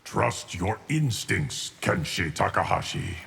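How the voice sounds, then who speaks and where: An actor playing an ancient, stoic guardian in a fighting game, speaking in a grave, measured way. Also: male voice, low voice, animated, gravelly, big-room sound, heavy background noise, exaggerated voice. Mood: solemn. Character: Geras, ancient, solemn male eternal guardian. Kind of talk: fighting game character banter.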